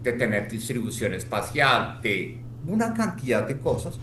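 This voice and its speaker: A man's voice: mid-range voice, very animated, normal speed, pushed and bright, reverberant, a little hiss or hum. A man from Colombia speaking passionately as a podcast guest, emphatic and rhetorical, often checking in with "¿sí?". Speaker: guest, former statistics official.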